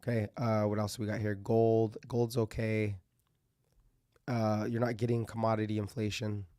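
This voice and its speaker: A man hosting casual market commentary, thinking aloud with fillers and restarts, his voice going a little hoarse as he clears his throat. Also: fairly low pitch, flat delivery, normal speed, dark tone, no echo, clean studio sound.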